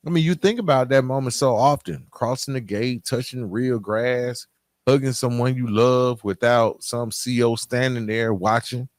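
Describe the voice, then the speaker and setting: A man hosting a podcast, telling personal stories in a candid, conversational, halting way with phrases repeated for emphasis. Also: medium pitch, steady tone, no echo. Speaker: podcast host. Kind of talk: casual personal storytelling to listeners.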